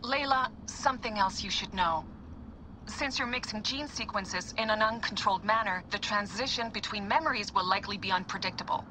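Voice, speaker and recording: A woman's voice: mid-range voice, brisk, noisy background, natural voice. An actress playing a cautious, worried female technician with a wry, sarcastic streak in a video game cutscene. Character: anxious, cautious woman technician, sarcastic partner.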